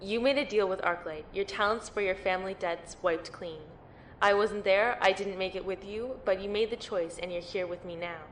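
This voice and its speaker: A woman's voice: medium pitch, normal speed, a little hiss or hum, close to an everyday voice. Woman playing a blunt, tough female lieutenant. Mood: stern. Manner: blunt, matter-of-fact. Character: tough, no-nonsense female lieutenant in powered armor. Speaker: voice actor playing a military lieutenant. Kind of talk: amateur audio drama acting.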